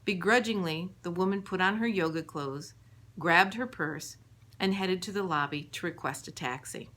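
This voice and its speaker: Woman narrating an audiobook, slightly low voice. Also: normal speed, fairly bright tone. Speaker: author reading her own book.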